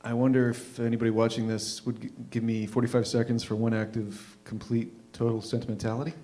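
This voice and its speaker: A man in his fifties from Canada hosting a live show, telling a personal story informally in a wry, reflective way with deliberate emphasis. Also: medium pitch, flat delivery, mellow. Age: 50s. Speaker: host of a live readers' theatre show.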